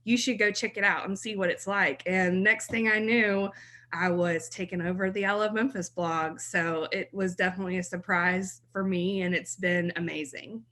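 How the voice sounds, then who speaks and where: A woman's voice: lowish voice, steady tone, moderate pace, sharp tone. A woman in her thirties from South Mississippi, a blog writer talking as a podcast guest in a chatty way and laughing easily. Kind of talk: podcast interview.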